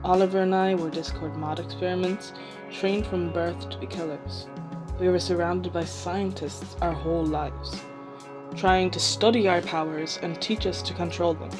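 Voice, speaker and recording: A woman voicing a sympathetic, resolute escaped experiment trained as a killer, in an amateur animated series. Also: lowish voice, normal speed, over music, natural voice.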